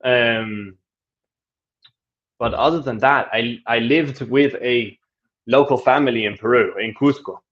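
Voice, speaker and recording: A man talks in an easygoing, chatty way, reading viewer comments aloud and answering them with small restarts. His voice sits at an ordinary middle pitch. The recording sounds a little dull and lo-fi. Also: measured pace, long pauses, a bit bright. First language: Danish. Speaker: travel vlogger answering viewer questions. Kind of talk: casual live Q&A, switching between English and Spanish.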